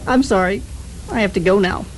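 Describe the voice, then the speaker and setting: A woman's voice: medium pitch, a little fast, shouting, background noise, close to an everyday voice. Woman acting a solemn secretive phone tipster. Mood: earnest, wounded pride. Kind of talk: comedic detective radio drama.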